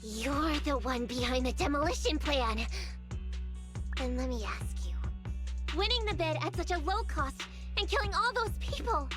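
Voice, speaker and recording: This is a young heroine in a video game. Her voice sits very high as she presses an accusation, tense and urgent. Game music rises clearly behind her. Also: animated, moderate pace. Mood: tense accusing. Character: young woman confronting a villain.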